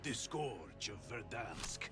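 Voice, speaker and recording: An actor playing a menacing, bitter Soviet ideologue in a game cutscene, with a slow, mocking, grandiose manner. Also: male voice, on the low side, big pitch swings. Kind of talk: dramatic game cutscene acting. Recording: loud music behind the voice.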